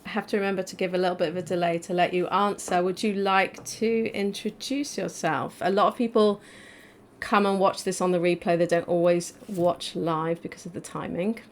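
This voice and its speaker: A woman's voice: lowish voice, fairly even intonation, normal speed, a little hiss or hum. A woman hosting a live interview over a video call, friendly and chatty, with many "like" fillers. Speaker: host. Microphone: video call mic.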